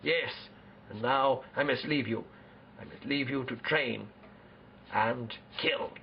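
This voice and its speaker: A man playing a proud, solemn ancient Spartan king who speaks formally and deliberately in an amateur role-play interview. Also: mid-range voice, vocal fry, muffled recording, a little hiss or hum. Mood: stern, proud.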